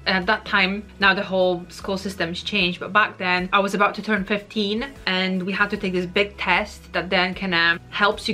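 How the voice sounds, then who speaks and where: A woman's voice: medium pitch, sharp tone. A woman in her twenties from Poland with a Polish accent, chatting casually to camera from her bedroom with lots of fillers. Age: 20s. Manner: chatty, many fillers, gestures while talking. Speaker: vlogger. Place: bedroom at home. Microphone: camera in front, seated on bed.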